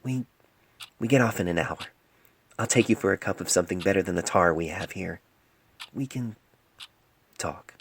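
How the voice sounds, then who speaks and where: A man voicing a stern, grieving middle-aged female pony doctor in an audio storybook, grave and measured, on an amateur phone recording. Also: low-pitched, creaky, slightly rough, full character voice. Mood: soft, weary. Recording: noisy amateur cell phone mic recording.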